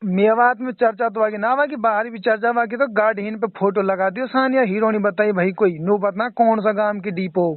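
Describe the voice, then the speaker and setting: A man with a Mewati accent talks in a high-pitched voice on a dull, dry phone recording. He is exasperated and emphatic, in a casual, chatty way. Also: steady tone, brisk, hardly any pauses, no echo. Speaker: phone call participant. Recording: band-limited phone call recording.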